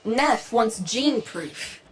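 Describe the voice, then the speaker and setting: A woman's voice: on the high side, lively intonation, extremely slow, slight background noise. A woman playing a tough, commanding underworld leader in a video game, blunt and resolute. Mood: grim. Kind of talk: video game character dialogue.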